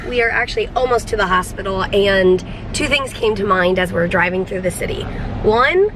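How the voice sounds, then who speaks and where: A woman's voice: medium pitch, normal speed. Chatty woman vlogger, background noise. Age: 30s. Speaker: vlogger, cystic fibrosis patient. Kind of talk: casual vlog talk. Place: moving car and hospital clinic room. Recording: background noise, car and room ambience.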